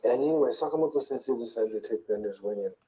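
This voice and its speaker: An older man slowly recalling his past in a soft, murmuring, rambling way, often trailing off. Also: mid-range voice, slight background noise, low-quality recording. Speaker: interviewee in played clip. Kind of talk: slow rambling recollection.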